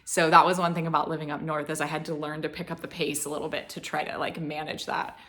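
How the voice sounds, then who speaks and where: A woman's voice: low-pitched, flat delivery, quick pace, fairly bright tone. A woman from Florida with a light Southern accent, rambling casually to camera with many restarts. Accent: American Southern. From Florida, United States. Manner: meandering, with many restarts and fillers. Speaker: vlogger.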